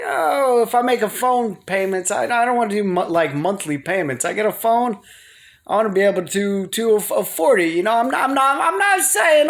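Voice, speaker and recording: A man rambling casually to his viewers in a folksy, slangy, playful way, as in a personal home vlog. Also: sits high. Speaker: video blogger. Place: living room at home. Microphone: phone.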